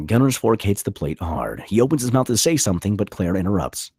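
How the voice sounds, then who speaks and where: Deep, husky, animated male screenplay narrator. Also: a little fast, no room sound, clean studio sound. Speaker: narrator reading stage directions.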